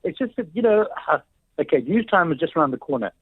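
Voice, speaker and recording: A man's voice: on the high side, sing-song, fast talker, slightly rough, no echo. A man calling in to a radio show, rambling and hesitant with chuckles. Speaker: radio caller. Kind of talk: phone-in chat. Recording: tinny phone line.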